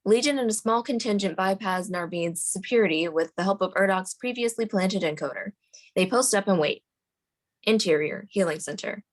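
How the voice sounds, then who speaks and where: A woman's voice: mid-range voice, moderate pace. A woman narrator reading screenplay stage directions aloud at a table read.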